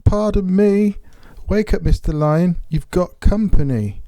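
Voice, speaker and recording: A tiny storybook mouse, acted by a man, pitched up from a grown voice. He is meek yet plucky, speaking politely and with earnest eagerness. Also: a little slow, dark tone, dry recording. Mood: polite, timid.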